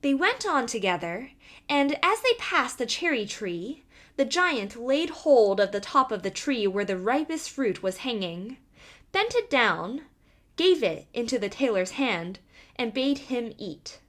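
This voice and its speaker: A woman narrates a scripted fairy tale in a highish voice. Her pitch moves up and down expressively, and she takes her time over each phrase. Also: big pitch swings, unhurried, fairly bright tone. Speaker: storyteller.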